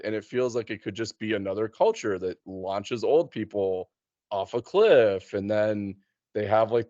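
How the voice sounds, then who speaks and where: A man with a medium-pitched voice chats casually about films. He muses tentatively and rewords himself as he goes. The sound is very dry, with a slightly dull lo-fi tone. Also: dry recording.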